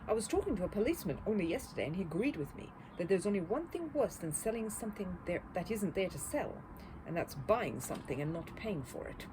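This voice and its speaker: A woman's voice: mid-range voice, very animated, fast, noisy background, close to an everyday voice. A woman voicing a kindly older male shopkeeper who is courteous, concerned and mildly reproving. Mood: confiding.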